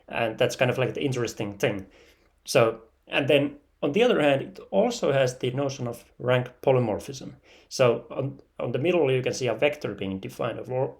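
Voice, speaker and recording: Casting: young Finnish-accented man, mid-pitched, presenting research haltingly with fillers. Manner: hesitant, many "uh" and "kind of like" fillers. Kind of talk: technical talk.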